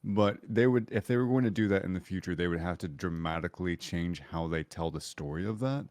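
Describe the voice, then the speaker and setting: A man's voice: sits low, a little fast, darkish tone, no room sound, clean studio sound. A man on a podcast panel discussing casually, hedging as he works out his opinion.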